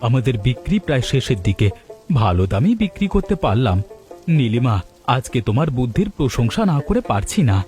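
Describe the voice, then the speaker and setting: A man's voice: medium pitch, sing-song, normal speed, a little mellow, faint music behind, lightly acted. A man voicing a meek, hesitant village husband in a cartoon, sounding doubtful and mildly chiding. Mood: earnest. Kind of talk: Bengali village cartoon dubbing.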